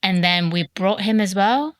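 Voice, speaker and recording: A woman's voice: mid-range voice, measured pace, dry recording. A woman hosting a casual podcast, blunt and teasing, who swears freely as she chats with a friend. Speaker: podcast host. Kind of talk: casual chat.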